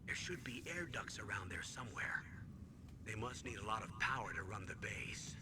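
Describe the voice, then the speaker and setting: A man's voice: fairly low pitch, lively intonation, normal speed, background noise, natural voice. A man playing a stern military commander in a video game, giving an authoritative briefing. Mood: serious, urgent.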